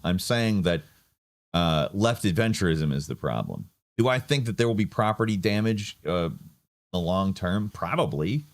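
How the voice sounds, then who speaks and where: Guy ranting about politics, kinda low voice, dry sarcastic, lots of ums. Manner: sardonic, rambling with fillers and restarts. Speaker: political commentator. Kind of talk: casual political commentary.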